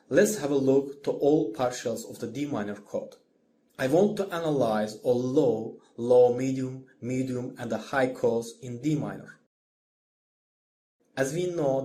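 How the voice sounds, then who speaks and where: Male instructor for narrated tutorial; soft-edged, dark tone, measured didactic read, slight noise. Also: medium pitch. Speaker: music orchestration lecturer.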